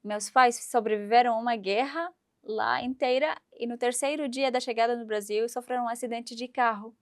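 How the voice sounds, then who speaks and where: A woman in her thirties from Syria, speaking in a fairly high voice. She tells her story with animation, acting out the voices of the people in it. The sound is dry and close. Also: normal speed, dry recording. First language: Arabic. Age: 30s. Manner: animated, acts out quoted voices, laughs while telling. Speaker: guest. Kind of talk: storytelling interview.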